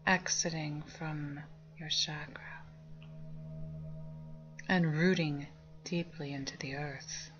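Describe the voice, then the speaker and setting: Slow, low-voiced woman guiding a meditation. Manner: soft, breathy, near-whispered, calming. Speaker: meditation guide. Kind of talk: guided meditation, slow spoken instructions. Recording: dull lo-fi sound under music. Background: meditation music with singing bowls.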